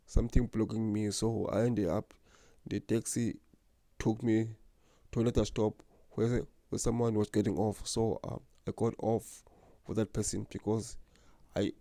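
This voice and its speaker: A man's voice: lowish voice, creaky, warm tone, no echo. A young man from South Africa who stutters, talking earnestly and unhurriedly about himself as the host of his own channel. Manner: stutters, with repeated words and blocks. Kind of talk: personal vlog talk to camera.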